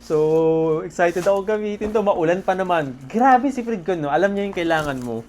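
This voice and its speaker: Filipino guy doing a casual vlog, excited and thankful, bit of background noise. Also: high-pitched, moderate pace. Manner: excitable, appreciative. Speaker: motorcycle vlogger. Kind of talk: casual vlog talk to camera. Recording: noisy background ambience.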